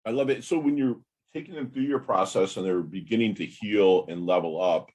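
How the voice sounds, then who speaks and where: A man's voice: lowish voice, fairly quick, a little mellow. A man in his fifties hosting a podcast interview, chatting enthusiastically and rambling with lots of fillers. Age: 50s. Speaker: podcast host. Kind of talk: casual interview chat.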